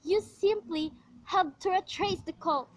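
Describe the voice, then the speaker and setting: Woman acting very high-voiced, fretful, demanding wife. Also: measured pace. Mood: horrified, urgent. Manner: fretful, indignant, melodramatic. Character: anxious, demanding invalid wife alone at home. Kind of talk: amateur radio play acting.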